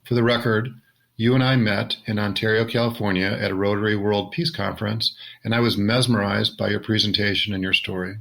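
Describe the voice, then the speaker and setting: A man hosting a podcast interview, reading prepared introductions in a steady way. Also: on the low side, brisk, creaky, poor audio. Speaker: podcast host.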